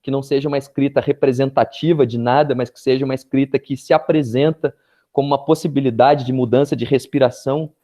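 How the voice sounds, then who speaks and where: A man from Brazil giving an earnest, measured talk on poetry over a video call, often checking in with a short tag question. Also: mid-range voice, fairly even intonation, mellow. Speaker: poet and teacher. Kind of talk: reflective spoken address at an online poetry event.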